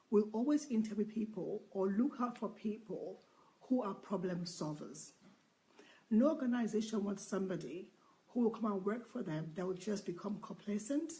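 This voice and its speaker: Quiet, earnest woman with a mellow, dark tone; measured discussion speaker. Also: medium pitch, rough recording. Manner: soft-spoken, quiet. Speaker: book club participant. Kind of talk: discussion contribution.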